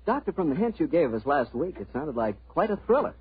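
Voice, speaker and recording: A man's voice: fairly high pitch, sing-song, a little fast, a little hiss or hum. A man hosting a vintage radio drama, chatting as an announcer in 1940s style. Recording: dull old radio recording.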